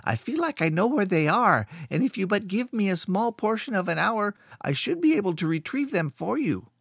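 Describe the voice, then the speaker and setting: A man's voice: sits high, big pitch swings, moderate pace, vocal fry, a bit bright, husky, no echo, studio quality, voice pushed up, heavily acted. A man doing a frightened stammering caretaker. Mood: frightened pleading. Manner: stammering, breathless and pleading. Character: nervous simple male caretaker, frightened and stammering.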